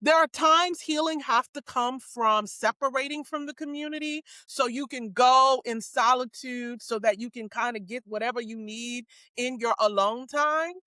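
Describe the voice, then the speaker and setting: A woman's voice: on the high side, moderate pace, hardly any pauses, pushed and bright, clear, resonant tone, dry recording. A woman hosting a faith-based talk show, speaking emphatically and often checking in with "right?". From United States. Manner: emphatic, keeps asking "right?". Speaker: podcast host. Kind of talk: casual faith-based discussion.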